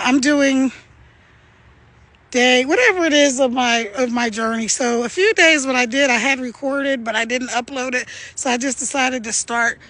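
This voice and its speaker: A woman with a gravelly yet high voice chats straight to her phone while out walking. Her talk is upbeat and breezy, broken by easy laughs, and the sound is close and dry. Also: sharp tone, no echo. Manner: chatty, upbeat, laughs often. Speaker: online business vlogger. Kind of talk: casual direct-to-camera pitch. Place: outdoors, walking. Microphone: phone held by hand.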